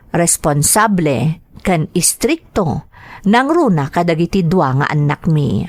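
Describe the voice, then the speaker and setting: A Filipino-accented woman hosts on radio, reading greetings and letters aloud. Her voice is on the low side, with a close, dry studio sound. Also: dry recording. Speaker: radio host and letter reader.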